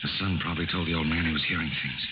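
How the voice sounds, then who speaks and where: A man's voice: a bass voice, steady tone, rapid-fire. An actor playing a determined, cool-headed male FBI agent in a 1940s radio drama. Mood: hushed, intent. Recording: noisy, muffled old radio recording.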